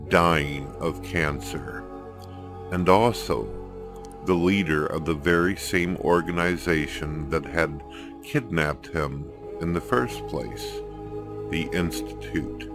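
A man delivering solemn scripted story narration in a grave, dramatic, measured manner. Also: a bass voice, over music.

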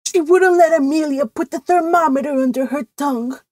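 A woman's voice: high voice, animated, takes it slowly, no echo, clean studio sound, voice pushed up, heavily acted. A woman voicing a fretful, complaining female cousin in an audiobook, with a whimpering, wailing manner. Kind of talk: audiobook character voice.

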